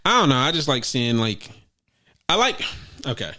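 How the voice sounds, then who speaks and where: A man co-hosting a casual sneaker podcast, talking in a profane, animated way that jumps up loud when he jokes. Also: medium pitch, moderate pace. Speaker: podcast co-host. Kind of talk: casual chat.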